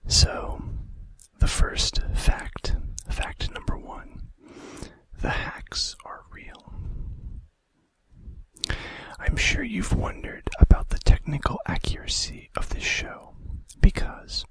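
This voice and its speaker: Guy doing asmr, soft breathy whisper, really slow and gentle, kinda casual reading facts up close to the mic. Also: very high voice, wildly swooping pitch. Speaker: ASMR video host.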